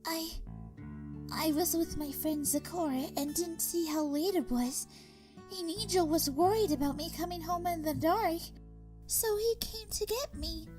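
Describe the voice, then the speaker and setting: A woman voicing a timid, gentle female pegasus pony, speaking very softly with hesitant stammers. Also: extremely high pitch, a little slow, music in the background. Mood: timid, apologetic. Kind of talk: fan audio drama reading.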